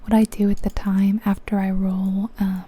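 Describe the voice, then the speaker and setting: Whispering woman, very soft and mellow. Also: mid-range voice, level tone, normal speed, slight background noise. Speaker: ASMR channel host. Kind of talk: whispered ASMR gaming commentary, casual and chatty.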